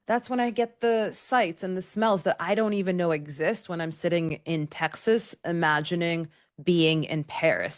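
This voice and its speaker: A woman's voice: lowish voice, no room sound, clean studio sound. A woman podcast host explaining ideas in an earnest, conversational teaching monologue, often restarting her phrases. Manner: earnest, conversational, repeats words as she restarts. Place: Texas.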